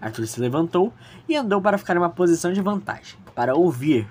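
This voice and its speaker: A woman's voice: fairly low pitch, animated, quick pace, a little mellow. A woman with a Brazilian accent narrating an audio drama in a storytelling style. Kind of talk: storytelling narration, loosely scripted.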